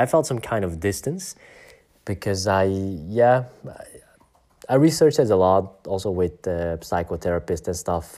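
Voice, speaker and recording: A man from Belgium in his thirties talking reflectively in a personal vlog, with many pauses, fillers and restarts. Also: sits low, darkish tone. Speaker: vlogger.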